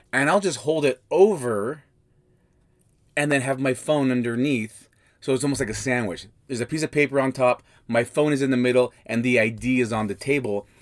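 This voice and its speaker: A man's voice: mid-range voice, no room sound. A man hosting a podcast with a slightly scratchy voice, explaining things casually and restarting his sentences as he thinks aloud. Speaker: podcast host. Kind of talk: casual instructional podcast talk.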